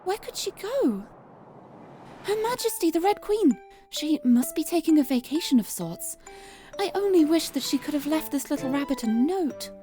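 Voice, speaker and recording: A woman doing a worried little bunny girl, really high voice, prim n pleading, visual novel character, music behind. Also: expressive, moderate pace, mellow. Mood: anxious, pleading.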